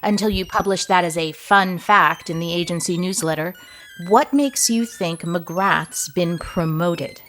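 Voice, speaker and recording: Casting: dry female agency chief, audio comedy, lower register, deadpan and commanding. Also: unhurried, faint music behind, rough recording. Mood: dry, unimpressed. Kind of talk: audio comedy drama acting.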